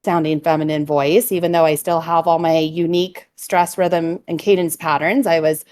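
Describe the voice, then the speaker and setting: Explaining woman voice coach, slight fry. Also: low voice, fairly even intonation, moderate pace, a little mellow. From California. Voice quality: a little vocal fry. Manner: fluent, explanatory, many "um"s. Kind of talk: explaining vocal technique in a conversation.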